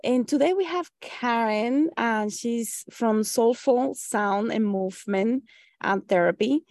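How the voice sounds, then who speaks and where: A woman's voice: on the high side, takes it slowly, a little mellow, dry recording, studio quality. A woman hosting an interview, encouraging her guest with brief affirmations. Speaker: host.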